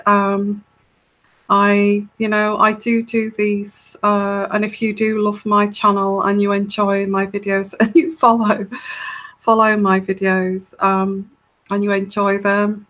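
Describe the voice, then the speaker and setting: A woman with a soft, soothing voice hosts a live tarot question-and-answer stream. She talks slowly and casually, wandering through her thoughts with plenty of ums and restarts. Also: medium pitch. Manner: rambling, hesitant, many fillers and restarts. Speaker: tarot reader hosting a live Q&A.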